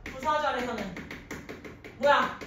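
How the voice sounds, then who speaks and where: Woman teacher, very high voice, heavily echoing classroom, distant mic, informal, question-driven lesson delivery. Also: slow pace. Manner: animated, quizzing, informal, often prompting with questions. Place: indoor classroom at a chalkboard. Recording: echoey classroom from a distance, faint noise.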